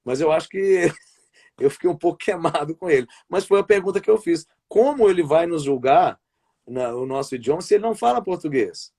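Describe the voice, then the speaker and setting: Chatty Brazilian man with a higher voice. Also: lively intonation, brisk, gravelly, a little mellow. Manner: rambling, restarts often, tags sentences with "né" and "sabe". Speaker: wrestling commentator and play-by-play announcer. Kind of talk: casual interview chat over a live stream. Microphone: video call.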